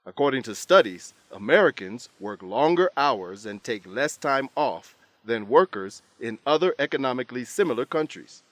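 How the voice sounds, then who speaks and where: A man narrating a scripted English lesson in a deliberate, instructive way. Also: mid-range voice, animated, a bit bright, no room sound.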